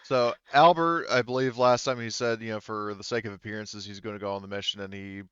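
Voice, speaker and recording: A man running a tabletop roleplaying game as game master, explaining things haltingly and thinking aloud. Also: on the low side, steady tone, a bit bright, clean tone, dry recording, muffled recording.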